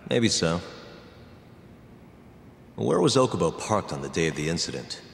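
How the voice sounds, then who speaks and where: An actor playing a calm, stubborn lawyer-detective in his thirties in a video game, terse and resolute. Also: moderate pace, gravelly, exaggerated voice. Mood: cool, questioning. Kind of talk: video game cutscene acting. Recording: echoey hall, rumbling low noise.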